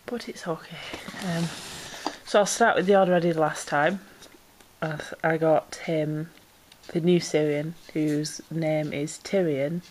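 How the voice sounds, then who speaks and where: A woman's voice: sits low, fairly even intonation, unhurried, a little mellow, a little hiss or hum, rough recording. A woman chatting casually as she unboxes pet supplies at home, a little congested from a cold and rambling with ums. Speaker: pet vlogger. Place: indoors at home, camera pointed down into a box.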